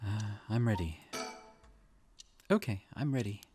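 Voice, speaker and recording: A man's voice: lowish voice, lively intonation, measured pace, music in the background. Man reading as an eager, unsure teenage schoolgirl. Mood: uncertain. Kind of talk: video game dialogue read aloud.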